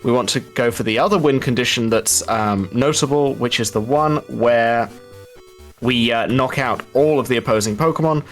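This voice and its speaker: A man's voice: mid-range voice, moderate pace, soft background music. A man giving casual, explanatory live speedrun commentary in a chatty, wry, informal way.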